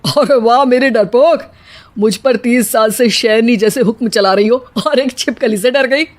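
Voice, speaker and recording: A woman's voice: a little high, moderate pace, lightly acted. A woman voicing a sly, mocking husband in a cartoon. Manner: mocking, wry.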